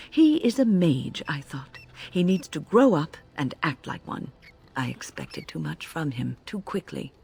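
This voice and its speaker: An actress playing an older female mage mentor in a fantasy game, measured and confessional, full of grave regret and compassion. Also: sits low, gravelly, darkish tone, exaggerated voice. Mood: self-reproachful. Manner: measured, reflective, confessional, emotive. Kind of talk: dramatic scripted game dialogue, storytelling.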